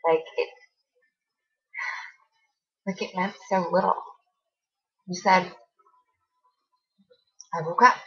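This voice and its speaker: A woman acting a hurt, accusing young woman confronting her former best friend in a halting, emotional dramatic monologue. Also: lowish voice, fairly even intonation, dull audio. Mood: bitter, disbelieving. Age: young adult.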